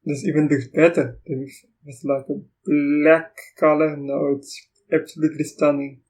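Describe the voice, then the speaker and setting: Afrikaans-speaking man, mellow and monotone, reviewing software and thinking aloud. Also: fairly high pitch, rough recording. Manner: thinks aloud, halting, reacts to what is on screen. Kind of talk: casual screen-recording walkthrough.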